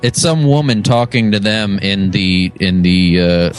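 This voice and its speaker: A man's voice: deep voice, fairly even intonation, a little slow, faint music behind. A man co-hosting a fan podcast, chatting casually in a joking, teasing way. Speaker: podcast co-host and quizmaster.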